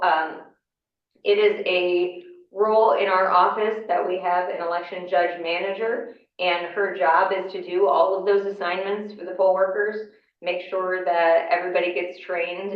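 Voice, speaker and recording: Hoarse woman lecturing in an echoey classroom. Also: fairly low pitch, little pitch movement, moderate pace. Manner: conversational, explanatory, with frequent fillers. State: hoarse from a cold. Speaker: county election official giving a class. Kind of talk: informal lecture. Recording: echoey room, mic far off, dull sound.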